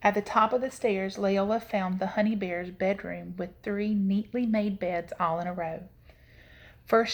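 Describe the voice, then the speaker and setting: A woman storyteller giving an expressive read-aloud narration of a children's story. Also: mid-range voice.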